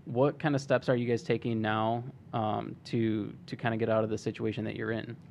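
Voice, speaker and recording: A man with a low, gravelly voice hosts a conversational interview. He sounds hoarse, as if his voice is wearing out. Some noise sits behind him. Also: flat delivery, fairly quick, darkish tone. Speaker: podcast host interviewing a guest.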